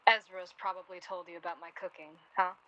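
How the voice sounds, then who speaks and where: A woman's voice: lowish voice, fairly even intonation, fairly quick, bright, forceful tone, muffled recording. A woman playing a wry, outspoken, self-deprecating young woman from 1930s New York in a naturalistic radio drama. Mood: chatty, self-deprecating. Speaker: actor in a radio play.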